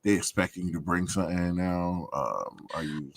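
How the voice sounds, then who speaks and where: A man's voice: low-pitched, normal speed, gravelly, slightly rough. A man co-hosting a podcast in casual chat, slangy and profane, joking and laughing often. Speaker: podcast co-host.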